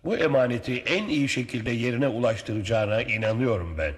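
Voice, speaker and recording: A man's voice: medium pitch, a little fast, breathy edge, lightly acted. A man playing an earnest, devout merchant in a dubbed cartoon, speaking persuasively. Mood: reassuring. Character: earnest, devout merchant entrusting a precious cargo.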